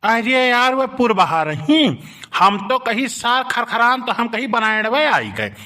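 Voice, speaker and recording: High-voiced pleading village man. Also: sing-song, measured pace, pushed and bright. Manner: pleading, defensive, blustering. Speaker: cartoon voice actor doing village men. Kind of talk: rustic village comedy dialogue.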